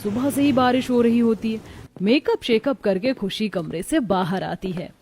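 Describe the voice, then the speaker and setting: A woman reading scripted narration for an animated moral story. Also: on the high side, moderate pace, a little hiss or hum.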